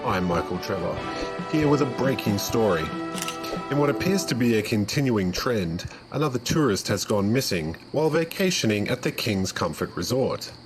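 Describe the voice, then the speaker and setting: Man as male news anchor, low-pitched, grave, measured, scripted broadcast, heavy music behind. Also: lively intonation, lightly acted. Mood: grave, formal.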